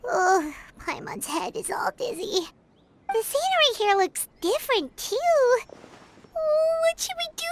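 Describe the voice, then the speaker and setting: A woman's voice: extremely high pitch, fairly bright tone, a little hiss or hum, exaggerated voice. A woman voicing a small, childlike, chatty and easily spooked fairy companion in a video game, exclamatory in manner. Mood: worried, dizzy. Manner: refers to self in third person, exclamatory. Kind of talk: cartoonish video game voice acting.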